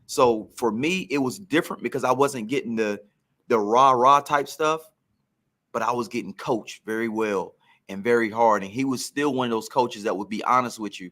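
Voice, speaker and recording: A man's voice: mid-range voice, normal speed, a bit bright. Former football player, reflective man telling stories. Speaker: former pro football wide receiver telling career stories. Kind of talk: reflective podcast storytelling.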